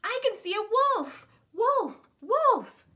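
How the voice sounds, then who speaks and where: A woman voicing a frightened young boy shepherd who shouts high and urgent for help. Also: big pitch swings, takes it slowly, full character voice. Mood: panicked.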